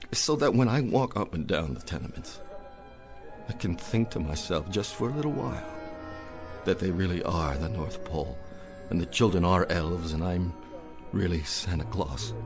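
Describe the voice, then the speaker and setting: An actor playing an aging, tender-hearted, down-and-out drinker in an emotional radio drama style. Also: male voice, sits low. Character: aging, weary, drunken, tender-hearted down-and-out man. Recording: radio drama with music underneath.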